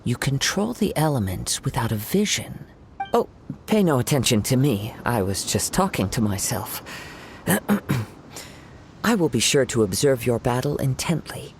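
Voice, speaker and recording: A man voicing a courteous, contemplative young wandering swordsman in a video game, speaking in a formal, measured, musing way. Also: medium pitch, very animated, creaky, slight background noise. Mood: thoughtful, curious. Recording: background music. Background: game music.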